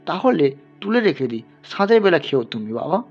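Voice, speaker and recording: A man's voice: on the high side, very animated, normal speed, vocal fry, lo-fi sound, heavily acted. A man voicing a caring, dutiful ten-year-old village girl in an audio story, earnest, questioning and anxious. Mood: anxious concern. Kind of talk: dramatized literary audio story. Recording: band-limited, music underneath.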